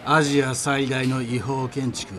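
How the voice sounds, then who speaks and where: A knowing, tough male guide played by an actor speaks in a medium-pitched voice. His delivery is dramatic and deadpan, with clear ambient noise behind it. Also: unhurried, natural voice. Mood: ominous, deadpan. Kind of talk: theatrical promo skit.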